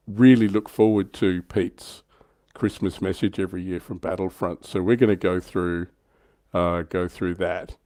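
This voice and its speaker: A man with a very low, dark-toned voice hosts a casual livestream. His delivery is chatty and informal. The sound is dry, with hardly any room on it. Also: no room sound. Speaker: livestream host.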